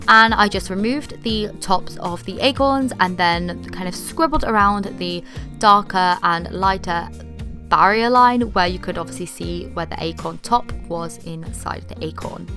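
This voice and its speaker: Chatty young woman, slightly hoarse. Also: mid-range voice, fairly bright tone. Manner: chatty, upbeat, lots of "like" fillers. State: losing her voice. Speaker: DIY vlogger. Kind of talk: casual DIY tutorial voice-over.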